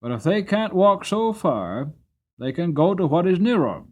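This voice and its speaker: A man's voice: high-pitched, very animated, moderate pace, darkish tone, soft background music, voice pushed up. A man voicing an upright, kindly cab driver in a dramatic storybook reading, with a firm, emphatic, moralizing manner. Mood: firm, preaching.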